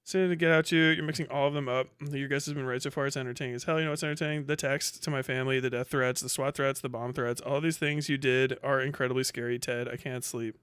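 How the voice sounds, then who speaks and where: A man's voice: mid-range voice, steady tone, fast talker, hardly any pauses, a bit bright, clean tone, no echo, studio quality. A man hosting a casual livestream, commenting and reading posts aloud in a slangy, sweary, mocking way. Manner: often breaks into laughter. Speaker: livestream host.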